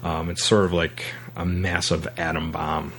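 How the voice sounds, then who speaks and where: A man hosting a podcast, talking casually about a film and haltingly repeating words as he recaps. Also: low voice, flat delivery, fairly bright tone, a little hiss or hum. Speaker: podcast host.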